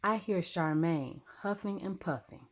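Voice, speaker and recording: A woman narrating a children's story expressively, with dramatic, deliberate phrasing. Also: on the low side. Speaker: storybook reader.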